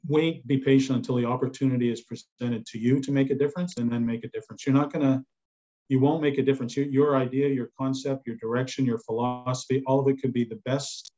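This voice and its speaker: Older male chef, mellow voice, opinionated, panel discussion, slightly dull video-call sound. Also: medium pitch, fairly even intonation, fast.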